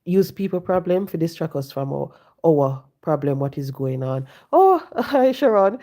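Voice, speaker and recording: A woman, hoarse and congested from the flu, talking on a casual live stream, preaching and repeating her points to viewers from home. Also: sits low, very animated, normal speed, warm tone. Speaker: livestream host. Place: home, sitting.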